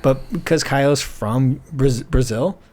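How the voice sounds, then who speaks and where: A man's voice: mid-range voice, a little slow, darkish tone. A young man from Scotland who now talks with an American accent, co-hosting a casual podcast over beer, rambling, swearing and tripping over his words. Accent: American, with a faint Scottish trace. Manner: rambling, swears a lot, stumbles and repeats words. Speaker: podcast co-host.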